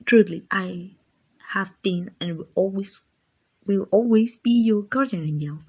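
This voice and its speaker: A woman voicing a gentle, doting, slightly clumsy guardian angel in a soft-spoken ASMR comfort roleplay, affectionate, hesitant and apologetic. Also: medium pitch, measured pace, husky. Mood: reassuring, tender.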